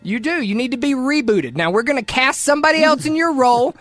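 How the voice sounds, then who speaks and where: High-pitched teasing man on radio. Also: animated, fast, a bit bright, dry recording, soft background music. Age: 30s. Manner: teasing, mock-serious ribbing. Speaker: radio show co-host. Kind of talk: joking radio banter.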